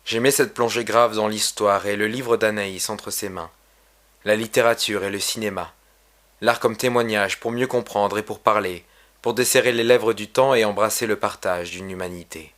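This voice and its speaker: A man reading his own poem aloud in a solemn, measured, literary recitation. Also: lowish voice, fairly even intonation, fairly bright tone. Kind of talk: poetry recitation.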